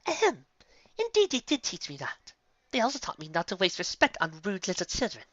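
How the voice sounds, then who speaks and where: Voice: mid-range voice, huge pitch swings, brisk, fairly bright tone, no echo, dull audio, voice pushed up. A storyteller voicing a refined, haughty female unicorn who is prim, poised and mock-polite. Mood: cool disdain. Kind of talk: fan fiction story character voice.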